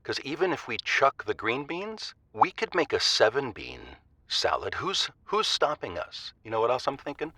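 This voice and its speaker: A man playing an excitable food enthusiast phoning a radio show in a comedic audio drama, eager, emphatic and raspy. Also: medium pitch, moderate pace, dry recording. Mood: excited, scheming. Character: Norman, excitable bean-salad enthusiast calling a radio show. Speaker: caller.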